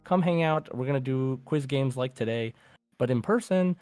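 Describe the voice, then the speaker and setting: A man's voice: medium pitch, moderate pace, darkish tone, clear, resonant tone, dry recording. A man hosting a podcast in a casual, informal way, addressing listeners as guys. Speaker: podcast host.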